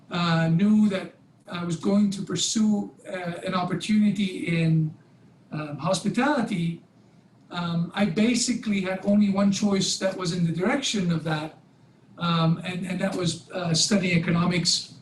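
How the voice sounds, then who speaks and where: A man's voice: sits high, fairly even intonation, measured pace, roomy sound, a little hiss or hum, poor audio. A man in his forties from Belgium, whose first language is Dutch, giving a talk on a video call with many fillers and restarts. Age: 40s. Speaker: guest speaker, hotel company president.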